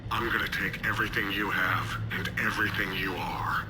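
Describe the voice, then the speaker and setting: An actor playing a gloating, threatening game villain who speaks with contempt through a voice disguiser. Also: male voice, low-pitched, fairly even intonation, moderate pace, loud music behind the voice, low-quality recording. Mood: menacing. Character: menacing, gloating male villain taunting his enemy. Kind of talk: cinematic video game dialogue.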